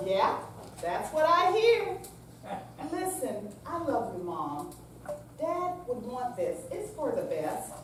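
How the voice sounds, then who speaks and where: A woman playing a patient, coaxing grown daughter in a staged reading, with deliberate, read-out delivery. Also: a little high, sing-song. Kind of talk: staged readers theater reading. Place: senior center room, staged live reading. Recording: very echoey hall, distant mic, faint noise.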